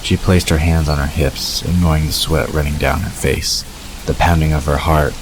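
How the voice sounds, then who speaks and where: A man's voice: rumbling low, flat delivery, normal speed. A man reading scripted story narration for an audio drama. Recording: noisy, rain-like hiss behind.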